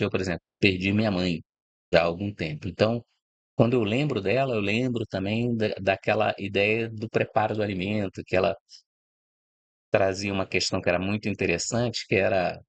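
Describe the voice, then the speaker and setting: Brief: middle-aged Brazilian man, low voice, dry close recording, relaxed explainer who keeps checking the listener follows. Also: no echo. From Brazil, born in Rio de Janeiro. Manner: reflective, explanatory, frequent "né?" and "tá?" tags. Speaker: host of a channel about food and agribusiness. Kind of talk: informal spoken talk to camera.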